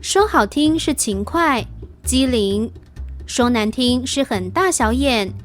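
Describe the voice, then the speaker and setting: A woman giving a deliberate, scripted read-out of quiz sentences. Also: a little high, expressive, soft background music. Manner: deliberate, repeats each sentence.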